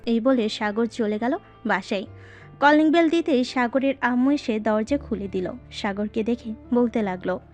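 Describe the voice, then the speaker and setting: Female narrator, scripted storytelling, higher-pitched voice, light background music. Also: normal speed, darkish tone.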